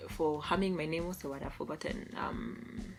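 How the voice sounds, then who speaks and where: A woman's voice: low-pitched, fairly even intonation, normal speed. A young woman from Kenya chatting casually as a vlogger, reading out posts, laughing often and stopping to search for words. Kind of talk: casual commentary reading tweets.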